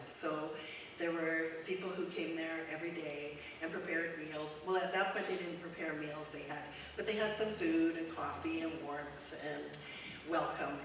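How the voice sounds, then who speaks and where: A woman speaks to a congregation in a loud, friendly, unhurried way, pausing on fillers. Her voice sits a little low. The recording is roomy and echoey, picked up from across a church hall with noise in the background. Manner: warm, conversational, with frequent ums. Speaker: guest speaker, charity executive director. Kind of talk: informal talk to a church congregation. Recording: echoey church hall, mic at a distance, noisy.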